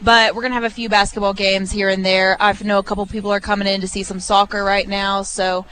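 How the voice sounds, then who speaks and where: A woman's voice: medium pitch, flat delivery, fast, a bit bright, a little hiss or hum, rough recording. Young woman from arkansas chatting on live radio at a bar, upbeat and easygoing, lots of ums. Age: 20s. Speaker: guest, restaurant assistant manager. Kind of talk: casual on-air chat. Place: restaurant bar, on location.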